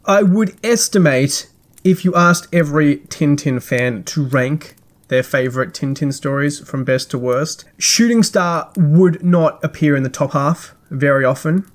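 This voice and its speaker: A man hosting a podcast, reading a scripted review in a measured, articulate way with dry, wry asides. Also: fairly high pitch.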